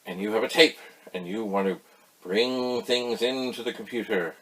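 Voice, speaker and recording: A man giving a casual, folksy explainer, rambling through anecdotes with emphatic pauses. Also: lowish voice, a bit bright, slightly rough, rough recording. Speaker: host of a retro computer tutorial channel.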